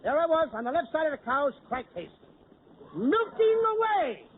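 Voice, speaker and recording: A man doing brash, wisecracking 1940s radio comedy with sudden shouts, heard on a noisy old radio broadcast. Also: very high-pitched, sing-song, normal speed, narrow, tinny audio.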